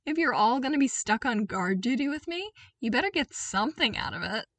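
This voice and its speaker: A woman's voice: fairly high pitch, sing-song, brisk, a bit bright, dry recording, pitched above the performer's own voice, heavily acted. A woman voicing a cheerful young male engineer with a casual, eager manner in a fan fiction audiobook. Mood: amused, easygoing.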